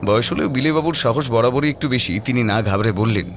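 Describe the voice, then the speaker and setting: A man's voice: mid-range voice, fairly quick, background noise. A man narrating a horror audio story in a dramatic, scripted style.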